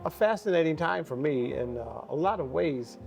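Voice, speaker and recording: Older male guest in his sixties, from Buffalo, fairly high voice for a man, reflective storyteller. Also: expressive, normal speed, gravelly, a little mellow, soft background music. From Buffalo, New York. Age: 60s. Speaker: guest musician. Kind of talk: interview conversation.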